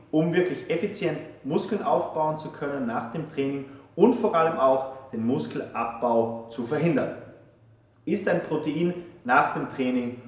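A man's voice: mid-range voice, distant mic. A young man coaching gym tips in an upbeat, emphatic way, with short punchy headlines, recorded in a large empty gym. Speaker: fitness coach. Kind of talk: scripted tips presentation. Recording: roomy echo of a large hall.

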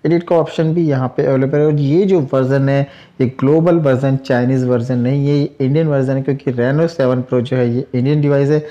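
A man hosting a tech review, explaining phone software in a chatty, repetitive way with English tech terms mixed in. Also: medium pitch, steady tone, fairly quick, lo-fi sound. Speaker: tech channel host.

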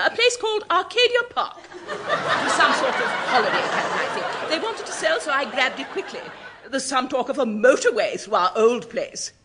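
An actress playing a brisk, jolly headmistress of a girls' school with an English accent, gushing and commanding, in a vintage radio comedy. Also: high voice. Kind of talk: 1960s radio comedy acting. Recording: very noisy old radio recording.

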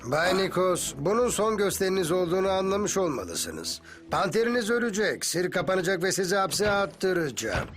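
An actor playing a pompous, angry middle-aged governor in a dubbed cartoon, commanding and indignant. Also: male voice, high-pitched, big pitch swings, normal speed, a bit bright, soft background music. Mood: stern, threatening. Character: pompous angry governor, a father, quick to threaten.

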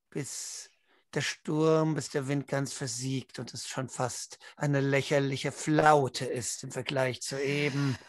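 Guy game master doing slow dramatic storytelling, dry close sound. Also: fairly high pitch, no echo. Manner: dramatic, lingering on words. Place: indoor room at home, video call.

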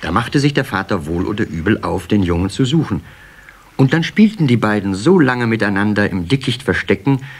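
A man narrating a children's story as part of an audio play, with a husky voice. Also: fairly low pitch.

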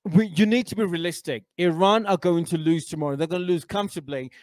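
A man from England in his thirties sits at a desk mic in a small studio and talks football with blunt, emphatic opinions. His voice sits high for a man, and the sound is close and dry, with no room around it. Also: fairly even intonation, fast talker, no room sound. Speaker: football pundit. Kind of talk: casual football chat. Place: small indoor studio with a backdrop.

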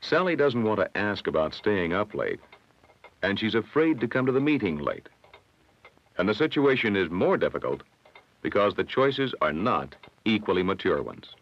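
An American man narrating a scripted 1960s educational film in a measured, instructive manner. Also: on the low side, sing-song. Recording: dull, lo-fi old film soundtrack.

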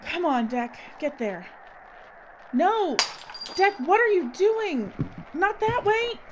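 A high-pitched, tense female filmmaker in a horror audio drama played by an actress. She gives orders in short, urgent bursts. The recording is dull, with heavy noise behind her. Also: sing-song, slow, full character voice. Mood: alarmed. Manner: urgent, clipped, commanding. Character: tense, bossy female filmmaker trapped in a frozen station.

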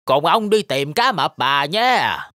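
A performer voicing a kindly old grandpa shark in a children's cartoon, animated and coaxing. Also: mid-range voice, slow pace, no echo, heavily acted. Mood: concerned.

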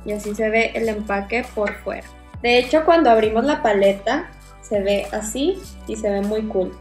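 A woman's voice: a little high, moderate pace, a little mellow. A young woman with a Mexican accent talks to camera in a casual, enthusiastic way, reviewing makeup as a video host. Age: young adult. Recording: roomy echo, music behind. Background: music.

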